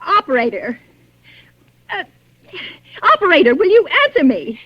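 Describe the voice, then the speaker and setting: High-pitched, panicky, imperious woman. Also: big pitch swings, unhurried, no echo. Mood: exasperated. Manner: stammering, insistent, indignant. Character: imperious, panicky, nervous married woman on the telephone. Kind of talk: 1940s radio drama acting. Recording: dull old radio broadcast recording.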